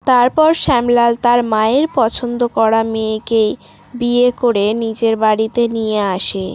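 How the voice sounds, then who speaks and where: A woman's voice: a little high, steady tone, normal speed, background noise. A woman reading a scripted sad story as the narrator of a cartoon tale.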